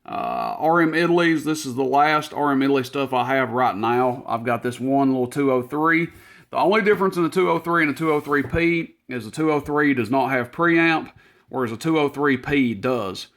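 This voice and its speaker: A man's voice: medium pitch, lively intonation, normal speed, fairly bright tone. A man talking casually through shop inventory as its owner, in a plainspoken, informal, folksy way. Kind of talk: casual product rundown.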